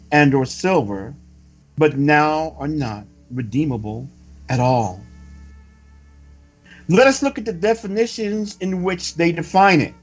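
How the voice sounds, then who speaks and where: A man reading a scripted sermon-like report in a solemn, formal, measured oratorical manner. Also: fairly high pitch. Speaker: religious reader delivering a prophecy report. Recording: dull lo-fi recording with music behind. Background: music.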